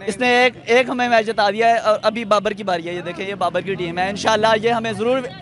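A man's voice: high voice, fairly even intonation, quick pace, music in the background. A young man at a night street interview, eagerly giving his cricket views while showing off a poster he made. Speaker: fan and graphic designer. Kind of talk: street opinion. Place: outdoors at night in a crowd. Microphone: handheld mic held by interviewer.

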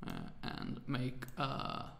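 Hesitant man, gravelly voice. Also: medium pitch, huge pitch swings, drawn-out words, a bit bright, roomy sound. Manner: hesitant, many "uh" fillers, apologetic about his English. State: tired, late at night. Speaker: music production tutor. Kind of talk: screen-recorded software tutorial.

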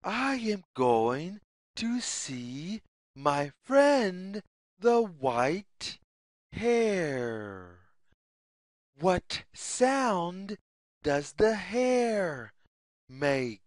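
A woman reads a children's picture book at an extremely slow, deliberate pace. Her slightly low voice rises and falls widely in a sing-song pattern, with each short phrase set apart. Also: very animated, a little mellow, no echo, studio quality. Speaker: children's story reader. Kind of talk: read-aloud picture book narration for young children.

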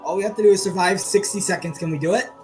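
A man streaming a game and chatting with teammates, excitable and swearing a lot, saying he is losing his voice. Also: high voice, very fast, faint music behind. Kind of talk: casual gaming chat with teammates.

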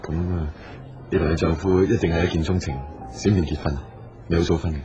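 A man's voice: low-pitched, steady tone, quick pace, lightly acted. A man with a Hong Kong Cantonese accent acting a polite, earnest, persistent businessman in a radio drama. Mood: attentive, nervous. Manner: polite, solicitous. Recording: dull audio with music underneath.

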